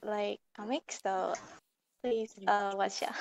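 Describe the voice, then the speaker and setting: A woman from Vietnam introducing herself on a video call, halting and full of fillers. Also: mid-range voice, steady tone, creaky. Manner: halting, many fillers, laughs softly. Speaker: illustrator, contest winner.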